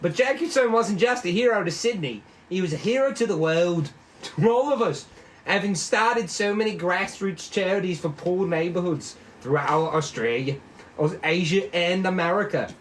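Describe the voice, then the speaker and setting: Guy doing a gushy tv newslady, high lively voice, bit of noise in the recording. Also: moderate pace. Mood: upbeat, gushing. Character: chirpy newswoman gushing over a late pop legend. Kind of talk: amateur table read of a script. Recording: noisy room recording.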